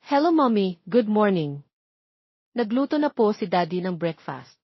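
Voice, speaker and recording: A woman's voice: mid-range voice, big pitch swings, measured pace, breathy edge, no room sound, dull audio. Woman doing a chirpy, cheeky Filipino child. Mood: cheerful. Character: bright, cheeky young child teasing parents.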